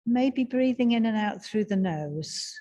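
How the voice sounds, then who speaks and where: A woman guiding a breathing meditation in a slow, soft and soothing way, with long pauses. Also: mid-range voice, expressive. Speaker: meditation guide.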